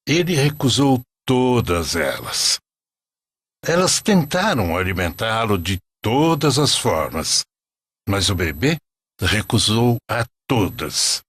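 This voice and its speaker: A man playing a devout, patient old grandfather narrating a sacred animated story. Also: on the low side, slightly rough, dry recording, heavily acted.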